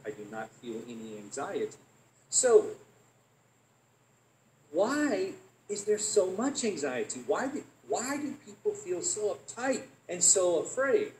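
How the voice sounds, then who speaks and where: A man giving an academic conference talk in English, his first language, animated and fond of rhetorical questions. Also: medium pitch, a little hiss or hum. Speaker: academic presenter. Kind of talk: conference presentation. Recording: echoey room.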